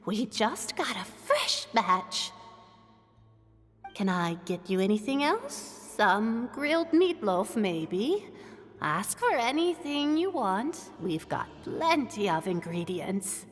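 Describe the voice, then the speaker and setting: A woman's voice: mid-range voice, very animated, slow pace. A woman playing a doting, fussing old grandmother in a video game, with music behind her. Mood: doting, folksy. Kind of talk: scripted video game acting. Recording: long reverb with game music.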